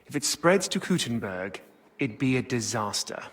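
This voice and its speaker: A man's voice: medium pitch, big pitch swings, normal speed, fairly bright tone. An actor playing a cautious, worried medieval man, serious and cautioning, in a dramatic game cutscene. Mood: grave, persuading.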